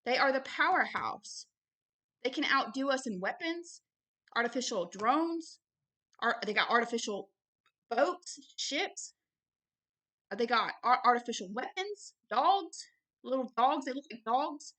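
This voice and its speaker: High-voiced woman teaching scripture emphatically. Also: animated, a little slow, long pauses, sharp tone. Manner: emphatic, didactic, repeats key words, short insistent phrases. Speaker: religious teacher and show host. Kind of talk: extemporaneous Bible teaching and book commentary.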